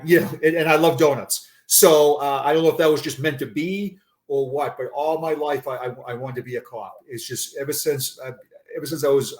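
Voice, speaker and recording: An older man with a Boston accent, a retired police sergeant talking as a guest in an unscripted interview, earnest and often repeating and restarting his words. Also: mid-range voice. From Boston area, USA.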